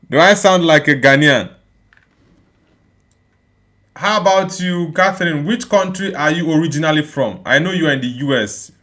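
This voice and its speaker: A man's voice: fairly high pitch, a little slow, long pauses, a bit bright. A young man from Nigeria hosting a live football watchalong, reacting to the match and reading out viewer comments in a casual, repetitive way. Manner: casual, repeats phrases, chats with viewers. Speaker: watchalong streamer.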